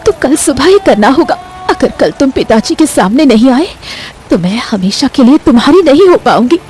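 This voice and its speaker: A woman's voice: high-pitched, big pitch swings, moderate pace, a little mellow, slight background noise. A woman voicing an anxious, devoted young village sweetheart in a cartoon story, emotional and pleading. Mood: anxious, sad. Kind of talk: animated story voice acting.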